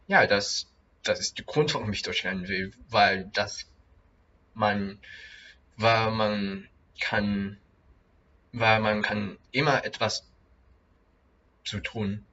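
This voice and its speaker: A young man who is not a native speaker talks slowly and haltingly on a home video, often stopping to restart a phrase. His voice is bright and a little pushed forward, and the recording sounds dull and lo-fi. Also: lowish voice. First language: not German. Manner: halting, many restarts and fillers. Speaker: vlogger, German learner. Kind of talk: casual learner vlog.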